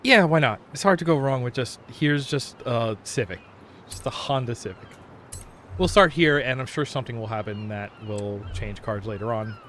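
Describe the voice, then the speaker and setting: A man chatting casually on a gaming livestream, thinking aloud in a halting way. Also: mid-range voice, animated, a bit bright, a little hiss or hum. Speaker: game livestreamer.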